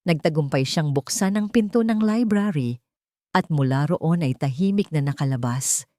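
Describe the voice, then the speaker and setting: A woman with a Filipino accent reading a scripted romantic drama story with dramatic, deliberate phrasing. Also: low voice, darkish tone, no room sound, studio quality.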